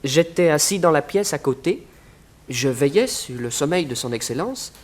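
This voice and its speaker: A man's voice: a little high, expressive, moderate pace. An actor playing a humble, formal, deferential male servant and bodyguard in a 1960s French radio serial. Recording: noisy old radio recording.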